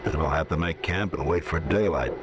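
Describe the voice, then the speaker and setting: An actor playing a calm, laconic frontier marshal in a vintage radio drama. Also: male voice, extremely low pitch, a little fast. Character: steady terse frontier marshal, man. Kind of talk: 1950s radio drama acting. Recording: heavy hiss, old radio broadcast.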